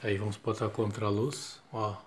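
A man's voice: on the low side, flat delivery, normal speed, background noise. A middle-aged man with a Brazilian Portuguese accent hosts his channel, showing a project in a dim home workshop. He talks casually and rambles, with many restarts and filler tags, filming on a handheld phone. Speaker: channel host. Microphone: handheld phone camera.

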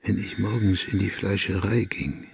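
Man acting a creaky-voiced, vengeful madman. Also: low-pitched, flat delivery, normal speed, a little hiss or hum. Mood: agitated, haunted. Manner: volatile, swinging from breathy whispers to loud cursing. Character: vengeful, paranoid madman just released from an asylum. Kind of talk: radio-play monologue.

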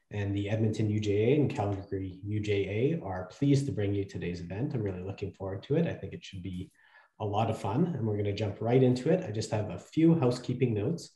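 A man with a low, mellow voice makes friendly announcements on a video call. He hesitates now and then with fillers. His voice echoes in the room. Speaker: event co-chair and moderator. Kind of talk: housekeeping announcements.

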